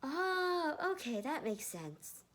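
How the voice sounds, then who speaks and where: Woman as a higher-voiced shy teenage boy. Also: sing-song, slow pace, heavily acted. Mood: puzzled. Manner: hesitant, soft-spoken.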